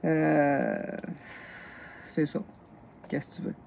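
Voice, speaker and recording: A woman's voice: low voice, wildly swooping pitch, drawn-out words, creaky, darkish tone, a little hiss or hum, poor audio. Tired, laughing Québécois woman. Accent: Québécois French. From northern Gaspésie, Quebec. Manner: chatty, laughs often, jumps between topics. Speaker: knitting vlogger. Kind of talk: casual chat.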